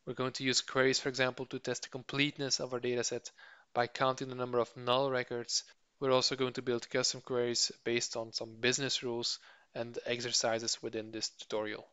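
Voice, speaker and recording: A man introducing an online course over slides as its instructor, explaining steadily and now and then pausing on a filler. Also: medium pitch, completely flat delivery, a bit bright, clear, resonant tone, no echo, highs cut off.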